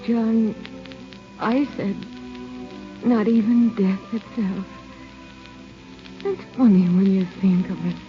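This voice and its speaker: An actress playing a tender, wistful dying young bride, halting and faint, in old-time radio style. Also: female voice, mid-range voice, steady tone, close to an everyday voice. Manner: slow, halting, faint, melodramatic. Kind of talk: 1940s radio acting. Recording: muffled old radio recording with music.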